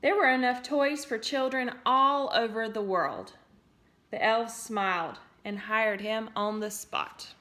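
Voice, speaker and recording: A woman teacher reads a storybook aloud to young listeners, her voice bright and fairly high. She is expressive throughout and drops in playful asides as she goes. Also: slow. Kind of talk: storybook read-aloud for children.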